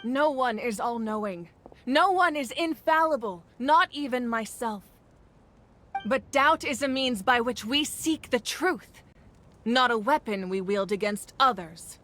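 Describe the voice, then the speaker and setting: A calm, commanding female ruler. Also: fairly high pitch, slight background noise. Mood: firm. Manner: calm, measured, authoritative. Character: commanding yet gentle female ruler of a nation. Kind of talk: game voice acting.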